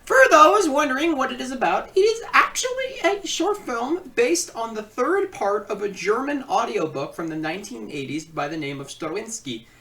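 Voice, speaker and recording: A man hosting a comedic video review, switching between theatrical announcing and halting casual asides. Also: very high voice, expressive, sharp tone, far from the mic, a little hiss or hum. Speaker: online film reviewer and host.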